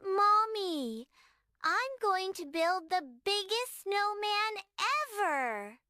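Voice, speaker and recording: Storybook girl: proud, giggly, kind, bright and high. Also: expressive, slow pace, no echo, studio quality, full character voice. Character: excited little girl, playful and kind.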